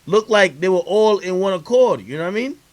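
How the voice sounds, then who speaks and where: A man's voice: high voice, lively intonation, a little fast. A man hosting a casual, heated livestream interview, talking in profane street slang and insistently repeating his points. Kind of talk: casual heated argument on a stream.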